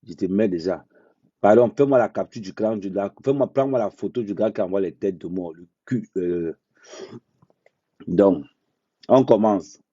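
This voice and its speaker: A man speaking bluntly in an unscripted live monologue, repeating phrases for emphasis and talking slowly and deliberately. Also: on the low side, dark tone, breathy edge, no echo. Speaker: social media commentator. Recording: dull lo-fi dry sound.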